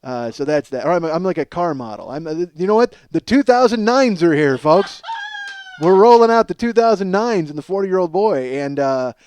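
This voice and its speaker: A man in his forties with a slightly hoarse voice, hosting a podcast as a casual comedic monologue, rambling, profane and self-deprecating. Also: high-pitched, expressive, normal speed, darkish tone, slight background noise. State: slightly hoarse from strained singing. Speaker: podcast host.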